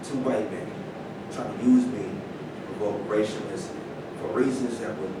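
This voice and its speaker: A man's voice: fairly even intonation, measured pace, close to an everyday voice. A man acting in a staged play reading as an aggrieved, cynical famous former athlete, speaking conversationally. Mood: bitter. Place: live staged reading in a roomy indoor space. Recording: echoey room from far off, rumbling noise.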